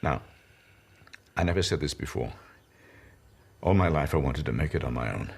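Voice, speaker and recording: Deep-voiced, halting old comedian. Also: male voice, animated, gravelly, slightly rough, heavily acted. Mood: confessional, tender. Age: older. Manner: halting, reflective, tender. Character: elderly comedian grieving beside his dying partner. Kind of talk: intimate television drama monologue.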